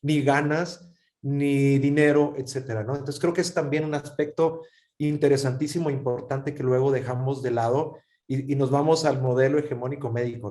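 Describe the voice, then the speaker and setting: A man's voice: medium pitch, warm tone. A man moderating a panel discussion who speaks in a thoughtful way and often repeats words while he searches for a phrase.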